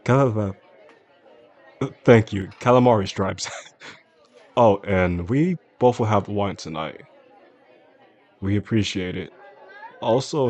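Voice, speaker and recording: A man playing a charming, softly possessive boss in an intimate audio roleplay, speaking attentively and flirtatiously to the listener in an unhurried way. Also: lowish voice. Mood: lighthearted, casual. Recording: faint restaurant crowd behind the voice.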